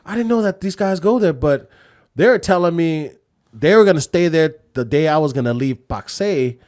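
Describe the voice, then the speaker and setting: A man's voice: on the high side, lively intonation, normal speed, a little mellow. A man talking casually on a podcast in an informal, slangy way, often tagging his phrases with "man" and "you know". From United States.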